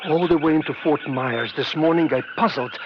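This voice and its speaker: A man acts out an intense foreign scientist from old radio drama, formal and solemn. His voice sits a little high for a man. The recording is dull and clearly noisy. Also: normal speed, close to an everyday voice. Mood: earnest. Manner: formal, stilted, solemn phrasing. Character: intense, earnest foreign male chemist, war-haunted assistant. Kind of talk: 1950s radio drama acting.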